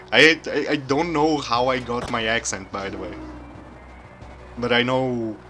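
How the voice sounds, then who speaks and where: A soft-spoken man from Brazil, a native Portuguese speaker, chatting calmly while streaming a game. His accent is hard to place and often taken for German. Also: mid-range voice, takes it slowly. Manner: soft-spoken, quiet and calm. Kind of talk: casual live-stream chat while gaming. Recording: game music playing behind.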